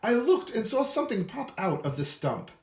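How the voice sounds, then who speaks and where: Man acting an animated old storybook hermit. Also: sits high, moderate pace, pitched above the performer's own voice. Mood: wistful, excited. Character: secretive, boastful old storybook hermit recounting his past. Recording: roomy echo.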